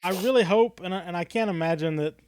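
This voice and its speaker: Male podcast co-host, fairly high for a man, dry close sound. Thoughtful, halting conversational delivery, often doubling back on phrases. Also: a little mellow, clean tone, no room sound. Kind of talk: casual fan podcast chat.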